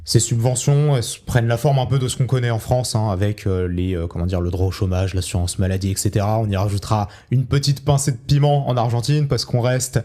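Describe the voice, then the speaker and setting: Podcast host, young man, rapid loose explainer, lowish mellow voice. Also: fairly even intonation. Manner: explains loosely, fillers like euh and du coup.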